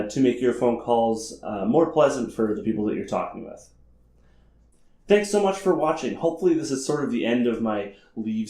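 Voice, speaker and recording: Echoey, lower-voiced man. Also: dark tone. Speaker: video creator and host. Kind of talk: informal explainer to camera. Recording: roomy echo.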